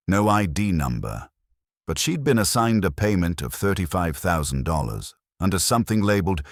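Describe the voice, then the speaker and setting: A man's voice: low voice, dry recording, studio quality. A man narrating a scripted first-person detective story in terse, clipped noir sentences. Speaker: audiobook narrator.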